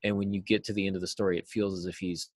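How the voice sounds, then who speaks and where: A man in his forties giving earnest, explanatory answers as an interview guest, stressing key words deliberately. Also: low-pitched, level tone, no echo, clean studio sound. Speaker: writing teacher and novelist, interview guest. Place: small cluttered office, video call.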